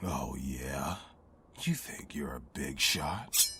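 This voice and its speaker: A man playing a menacing street mugger in an ASMR audio drama, with a rough, husky voice and a taunting, threatening manner. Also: extremely low pitch, lively intonation, drawn-out words, pushed and bright, slight background noise, exaggerated voice. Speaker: voice actor.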